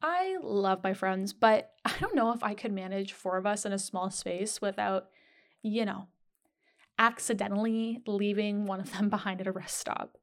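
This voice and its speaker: A woman's voice: medium pitch, moderate pace. A woman hosting a podcast, reading an upbeat scripted introduction. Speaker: podcast host.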